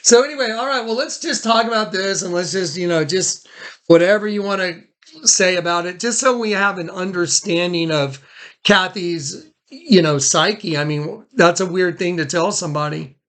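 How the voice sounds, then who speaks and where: A man's voice: sits high, moderate pace, a bit bright. A man hosting a recorded phone conversation, talking in a casual, informal and somewhat rambling way. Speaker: host recording a phone statement. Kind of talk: casual, conversational documenting talk.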